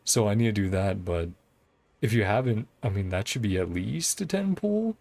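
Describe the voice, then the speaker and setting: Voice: low voice, lively intonation, moderate pace, gravelly, darkish tone. A game streamer chatting casually over gameplay in an easygoing, encouraging way, often laughing while talking. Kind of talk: casual livestream gameplay commentary and chat.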